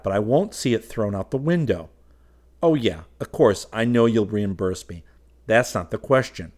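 A man acts out a commanding young gentleman from a storybook. He is low-pitched but loud and emphatic, with a blunt, scolding edge. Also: lightly acted.

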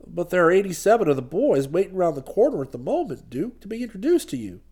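A hearty American small-town booster from a comic storybook, played by a male narrator. He is emphatic and businesslike, speaking plainly in a somewhat lowered voice. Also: sing-song, fast talker, warm tone, husky, full character voice. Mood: hearty, then troubled.

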